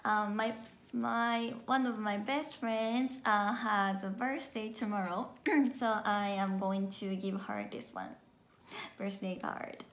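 A woman's voice: mid-range voice, slow, reverberant. A young Japanese woman vlogging in English with a Japanese accent, trying sweets in her kitchen and talking hesitantly with many fillers. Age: young adult. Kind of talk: casual taste-test vlog. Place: bright kitchen indoors. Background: guitar music.

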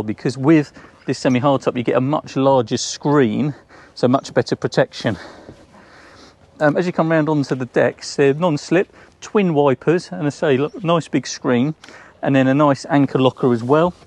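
A man in his forties with an English accent, casually walking through a boat for sale, chatty and relaxed, with frequent ums, recorded outdoors in a marina. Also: medium pitch. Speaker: boat sales presenter. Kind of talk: casual walkthrough tour.

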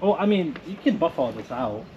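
A man chatting outdoors by a lake, explaining in an animated way. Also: a little high, a little fast. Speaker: motovlogger. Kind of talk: casual chat on scene. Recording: muffled camera audio, loud background noise.

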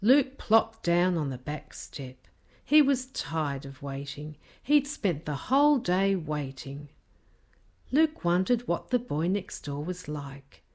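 A woman narrates a children's storybook. Her voice sits slightly low and moves with animated intonation. She reads carefully at an unhurried pace. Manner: careful, expressive reading. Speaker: storybook reader.

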